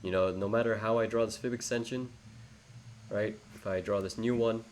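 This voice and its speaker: A man's voice: on the low side, steady tone, moderate pace, darkish tone. A young man with an English accent, rambling through a live trading tutorial with many fillers and self-corrections. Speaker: trading educator. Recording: faint background noise.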